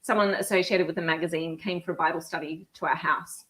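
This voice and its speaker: A young adult woman co-hosting a youth group chat, teasing and quick-witted. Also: fairly low pitch, steady tone, fairly bright tone, poor audio. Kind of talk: casual chat, playful game of two truths and a lie.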